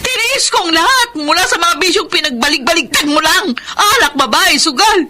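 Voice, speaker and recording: High-voiced, embittered long-suffering wife. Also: female voice, animated, normal speed, pushed and bright, exaggerated voice. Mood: tearful, anguished anger. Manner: impassioned, reproachful. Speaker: radio drama actress. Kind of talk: classic Filipino radio drama acting. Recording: noisy radio drama recording.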